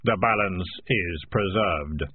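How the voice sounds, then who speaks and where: Man playing slow, grave Death figure, thin radio-like sound. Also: low voice, slightly rough, no echo, phone-quality sound, voice pushed down, exaggerated voice. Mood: solemn, dry. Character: Death, solemn, weary, unhurried reaper.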